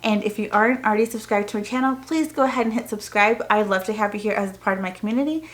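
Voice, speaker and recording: A woman talks to her viewers in the style of a casual unboxing review. Her voice sits at an average pitch. She explains things informally and chattily, letting sentences wander and trail as a homeschooling parent vlogging would. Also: fast.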